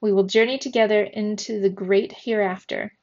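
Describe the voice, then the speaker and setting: Woman playing solemn, slow, gravelly Death. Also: medium pitch, fairly even intonation, a little mellow, muffled recording, lightly acted. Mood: ominous. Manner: slow, pompous, archaic. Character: Death, a solemn grandiose fiend played by a boy.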